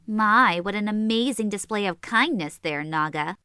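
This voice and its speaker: A woman's voice: mid-range voice, animated, normal speed, sharp tone, smooth, no echo, clean studio sound. A woman playing a petite, short-tempered teenage sorceress in a comedic anime fan audio drama, sarcastic and teasing. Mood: dry, skeptical.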